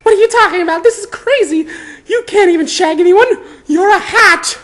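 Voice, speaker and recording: A man voicing an indignant teenage witch in a shrill falsetto for a story reading. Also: normal speed, a bit bright. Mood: shocked, indignant. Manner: exclaiming, scolding.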